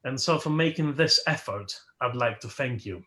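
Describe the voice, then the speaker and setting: A man whose first language is Polish, leading a worship service as its host and speaking slowly and reflectively, with emphatic rises, over a video call. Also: medium pitch, expressive, bright, forceful tone. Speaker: worship leader. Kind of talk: sermon.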